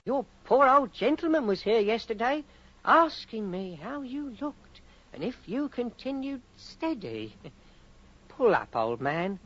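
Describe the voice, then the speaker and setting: An actor playing a chatty, officious solicitor's clerk in a radio horror drama, blunt but pitying. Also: male voice, very high voice, sing-song, slow, a bit bright, exaggerated voice. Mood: blunt concern. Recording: dull, lo-fi vintage radio recording.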